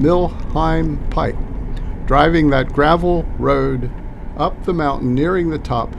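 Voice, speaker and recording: A man narrating an informal hobby video recap with hesitant, halting phrasing. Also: mid-range voice, creaky, a little hiss or hum.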